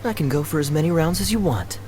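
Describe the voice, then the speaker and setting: Man playing a smug, lively rich gambler. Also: a little high, fast talker, over music, lightly acted. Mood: teasing, confident. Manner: nonchalant, teasing, condescending. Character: smug, carefree rich male gambler, breezily taunting. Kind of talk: video game voice acting.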